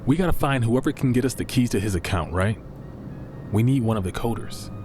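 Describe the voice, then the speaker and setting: An actor playing a brash, impatient schemer in a podcast drama, blunt, slangy and sarcastic. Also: male voice, medium pitch, lively intonation, brisk, a little mellow, faint music behind, close to an everyday voice. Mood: urgent. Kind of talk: naturalistic fiction podcast acting.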